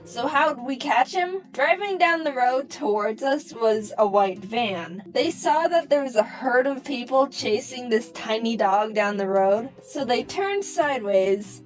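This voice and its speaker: A young woman telling a personal story for a cartoon, in a casual, animated way with wry comic asides. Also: fairly high pitch.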